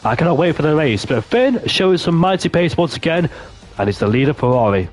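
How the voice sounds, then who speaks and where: A man commentating a sim racing session, excitable and losing his voice, hoarse. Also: a little fast. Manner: excitable, rises sharply in pitch at key moments. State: voice going hoarse. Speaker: lead commentator.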